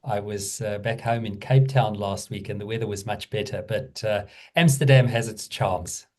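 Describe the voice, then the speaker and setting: A middle-aged man with a South African accent, speaking as a guest in a conversational interview, measured and thoughtful, with frequent fillers. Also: lowish voice. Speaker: guest, theology professor.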